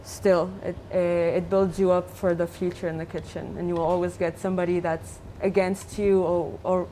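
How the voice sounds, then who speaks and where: A woman's voice: lowish voice, level tone, normal speed, mellow, slight background noise, low-quality recording. Young woman from Lebanon, candid. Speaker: student chef. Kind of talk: live cooking show chat. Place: large teaching kitchen studio.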